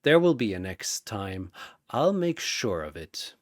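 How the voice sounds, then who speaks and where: A man's voice: lowish voice, very animated, a little slow, no echo, studio quality. A man voicing a cold, gloating mad scientist captain who speaks in a clinical, condescending, formal way, in a dramatic story. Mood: calculating.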